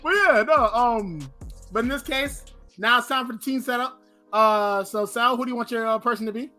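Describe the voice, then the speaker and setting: A playful woman hosts a podcast trivia segment, joking and humming the quiz tune. Her voice is bright and forceful and sits somewhat high. It is heard over a remote call mic. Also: normal speed, soft background music. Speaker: podcast host. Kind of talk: casual chat, game-show hosting.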